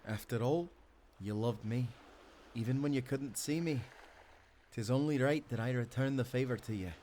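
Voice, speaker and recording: A man's voice: medium pitch, moderate pace, close to an everyday voice. Man playing a tender Scottish sailor husband, ambient noise behind. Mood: devoted, solemn. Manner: tender, teasing, given to soft chuckles. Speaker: audio roleplay actor. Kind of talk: intimate romantic audio roleplay, old-fashioned seafaring speech.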